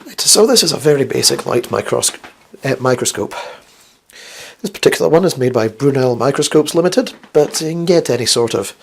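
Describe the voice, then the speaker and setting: A man with a slightly croaky, flu-worn voice hosts a casual instructional science vlog, chatty and rambling with wry, self-deprecating asides. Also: medium pitch, expressive, brisk, a little hiss or hum. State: getting over the flu. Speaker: host of a science video series.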